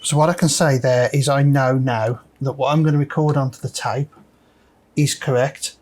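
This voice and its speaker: A man's voice: mid-range voice, steady tone, normal speed, background noise. A man hosting a casual, unscripted repair walkthrough, thinking aloud hesitantly as he works. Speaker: host of a repair video.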